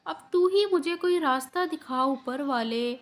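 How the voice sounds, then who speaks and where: A woman voicing a kind, hardworking female bird who sells bangles in a cartoon story, speaking gently. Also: high voice, measured pace. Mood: pleading. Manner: gentle, pleading.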